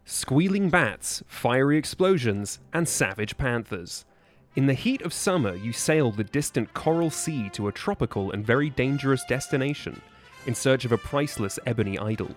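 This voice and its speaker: A man's voice: mid-range voice, animated, moderate pace, soft background music. A man reading scripted adventure copy aloud in a dramatic storytelling style. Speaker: podcast guest reading aloud.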